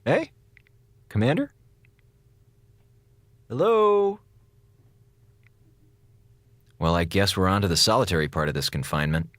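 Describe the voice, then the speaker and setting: Animated wisecracking space station officer. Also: male voice, sits low, normal speed, no echo. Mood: weary, rueful. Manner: wisecracking, melodramatic, rambling. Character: excitable, sarcastic, defiant male space station communications officer. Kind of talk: modern audio drama in Golden Age radio style.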